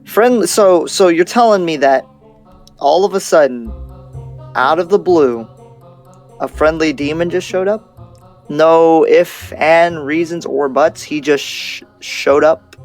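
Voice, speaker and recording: A man playing a skeptical, cautious demon slayer in an improvised roleplay, speaking in a halting, incredulous way. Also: fairly high pitch, a little mellow, soft background music. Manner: halting, incredulous, repeats himself. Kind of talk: improvised roleplay acting in casual speech.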